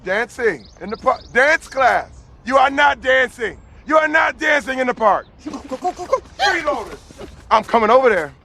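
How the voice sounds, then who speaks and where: A man playing a bossy, angry fitness instructor with a strained, gravelly voice, in distant film audio. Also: extremely high pitch, sing-song, normal speed, sharp tone, a little hiss or hum, exaggerated voice. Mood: angry, scolding.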